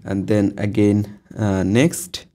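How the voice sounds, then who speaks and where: Low, mellow man giving a tutorial. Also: flat delivery. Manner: halting, repetitive phrasing with frequent uh and um. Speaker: tutorial host. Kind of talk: informal step-by-step screen tutorial narration. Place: voice-over to a phone screen recording.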